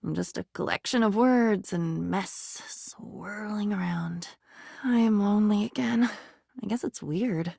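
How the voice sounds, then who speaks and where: A woman's voice: mid-range voice, a little slow, vocal fry, darkish tone, no room sound, clean studio sound. A woman playing an anxious, insecure living diary in a video game, morbid and erratic, with fragmented, theatrical delivery that veers between muttering and outbursts. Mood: self-loathing, lonely.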